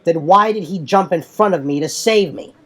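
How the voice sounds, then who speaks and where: A man's voice: on the high side, normal speed, a bit bright, slightly rough, pitched above the performer's own voice. A man playing a loyal, defiant young male warrior in an insistent, argumentative manner.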